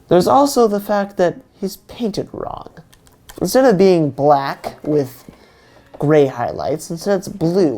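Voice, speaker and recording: A man's voice: fairly high pitch, creaky, a little mellow. A man giving a scripted video review in an earnest, enthusiastic fan's delivery. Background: faint music.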